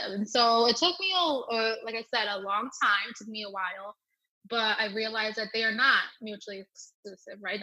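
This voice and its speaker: A woman's voice: mid-range voice, sharp tone, poor audio. A young woman who grew up with Thai as her first language, speaking earnestly as a guest in an interview and often checking in with "right?". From United States. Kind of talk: reflective personal interview answer.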